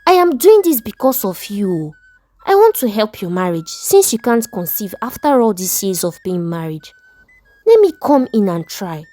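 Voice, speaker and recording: A woman's voice: medium pitch, sing-song, normal speed, warm tone, lightly acted. A woman voicing a cheeky, coaxing best friend in a story, with a Nigerian accent and a placating manner.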